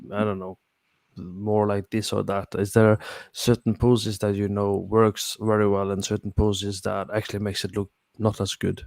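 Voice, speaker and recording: Guy hosting, low gravelly voice, dry sound, kinda halting with lots of uh. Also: a little mellow, no room sound. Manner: halting, searching for words, "uh". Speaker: host. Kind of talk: interviewing and explaining to viewers.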